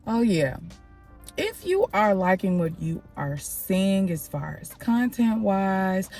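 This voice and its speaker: A young woman with a slightly nasal voice, rambling casually to her vlog viewers and calling them y'all. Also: mid-range voice. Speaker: vlogger. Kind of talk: casual vlog chat to camera.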